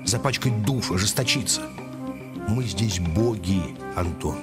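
A man playing a weary, wise older judge in a radio drama, speaking in a measured, paternal, admonishing way. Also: on the low side, loud music behind the voice, lightly acted. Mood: sorrowful, earnest. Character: weary, wise older male judge, secretly an Earth historian.

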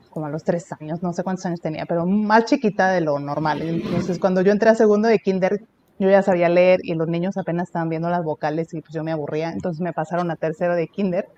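A woman's voice: lowish voice, darkish tone, muffled recording. A woman religious sister with a Mexican accent, chatting casually as an interview guest, with lots of fillers and easy laughter. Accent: Mexican Spanish.

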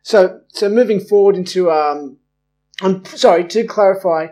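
Chatty male podcast host, higher voice. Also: normal speed, darkish tone. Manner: friendly and rambling, with many "um"s and restarts. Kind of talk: casual podcast interview.

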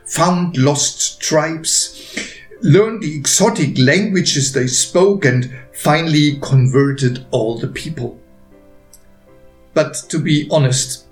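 A man reading his own story aloud as a narrator, gently wry and unhurried, with deliberate pauses. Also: medium pitch, roomy sound, low-quality recording.

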